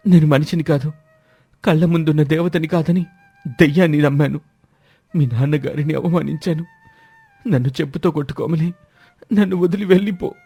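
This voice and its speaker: Man as heartbroken young husband in a story drama, pitched up from his usual, pleading. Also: normal speed, gravelly, long pauses, warm tone, breathy edge, no room sound, faint music behind. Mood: remorseful pleading. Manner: pleading, emotional, raised voice. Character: anguished betrayed son and remorseful young husband.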